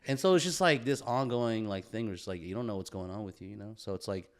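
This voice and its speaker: Man, low voice, casual podcast chat, slangy, profane, rambling storyteller. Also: fast. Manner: slangy, profane, rambling, says bro and dude often. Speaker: podcast co-host.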